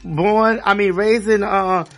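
A man's voice: sits high, fairly even intonation, slow, fairly bright tone, soft background music. A man hosting a casual radio interview in loose, slangy talk, often repeating himself. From Haitian background. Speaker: radio show host.

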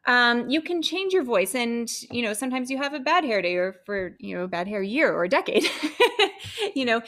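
A woman's voice: high-pitched, fairly bright tone. A woman speaking as an expert on singing, explaining how the voice works with everyday comparisons. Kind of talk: explanatory conversation.

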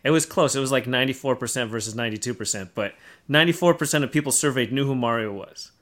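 A man speaks as a co-host in a casual podcast discussion. His voice is medium in pitch, and he explains things step by step, building up each point in a conversational way. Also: fast, fairly bright tone.

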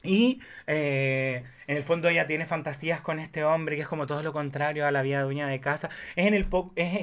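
A man's voice: on the high side, a bit bright. A man with a Chilean Spanish accent chatting casually about films in a campy, effusive, exclamatory way. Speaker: podcast guest.

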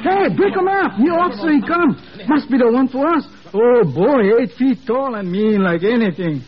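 An actor playing a small, eager young army recruit who talks in halting, artless broken English in a 1950s radio drama. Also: male voice, very high voice, expressive. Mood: excited, alarmed. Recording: muffled old 1950s radio broadcast.

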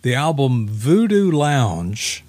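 A man's voice: mid-range voice, big pitch swings, slow, darkish tone, dry recording. An American man co-hosting a radio show, chatting casually and sharing music trivia in an agreeable, enthusiastic way.

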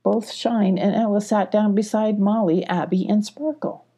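Female children's audiobook narrator, husky and relaxed, measured pacing of phrases, expressive storytelling. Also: medium pitch. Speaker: audiobook reader.

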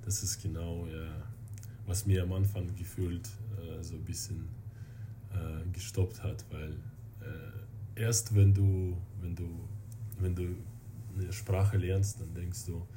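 A man's voice: low voice, flat delivery, background noise. A man with a Russian accent and Russian as his native language, chatting casually and searching for words as a guest. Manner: halting, searching for words in non-native German. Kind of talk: casual interview chat.

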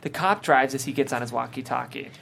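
Medium-pitched screenplay narrator reading directions. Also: brisk, creaky, fairly bright tone, a little hiss or hum. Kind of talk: scripted narration of screenplay action lines.

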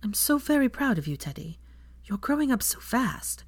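A woman voicing a caring, earnest young witch in a storybook reading, gentle and careful with her words. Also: fairly high pitch, big pitch swings, normal speed, no echo, pitched above the performer's own voice. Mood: tender.

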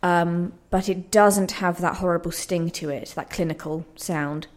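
A woman with a slightly low voice talks as a playwright in a radio interview. She is earnest and reflective, explaining things in a conversational way. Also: steady tone, unhurried.

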